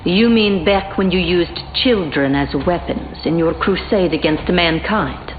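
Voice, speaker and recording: Game heroine, actress as estranged witch: lowish female voice, cool, pointed, guarded. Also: a little slow, roomy sound, narrow, tinny audio, a little hiss or hum, close to an everyday voice. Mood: cool, bitter. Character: bitter, guarded adult daughter, superpowered witch.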